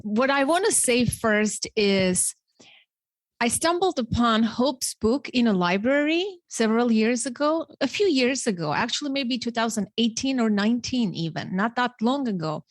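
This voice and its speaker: A woman's voice: a little high, expressive, moderate pace, dry recording. A woman in her forties with an Armenian accent, hosting a podcast in an earnest, emphatic way. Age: 40s. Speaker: podcast host. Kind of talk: podcast introduction and interview talk.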